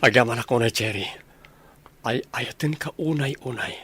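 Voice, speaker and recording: A man's voice: medium pitch, normal speed, a bit bright, husky. A man with an Ilocano accent playing an earnest, lovestruck young man and devoted son in a comedy radio drama. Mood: earnest, happy.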